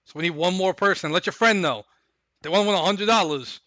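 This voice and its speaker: A man hosting a live stream, chatting casually and recapping fights in a rambling, hyped way while his voice goes hoarse. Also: high-pitched, fast talker, sharp tone, no echo, highs cut off. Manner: rambling, hyped, repeats himself. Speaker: stream host.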